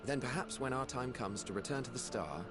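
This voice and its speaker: An actor playing a thoughtful male scholar in a game cutscene, speaking in a measured, formal way. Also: medium pitch, noisy background, close to an everyday voice. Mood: musing.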